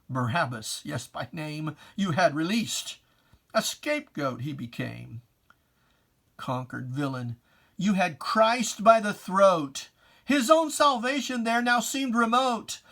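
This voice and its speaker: A person giving a dramatic reading of religious verse in a theatrical, deliberate, taunting manner with pointed pauses. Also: medium pitch, vocal fry, a bit bright. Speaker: poetry reader.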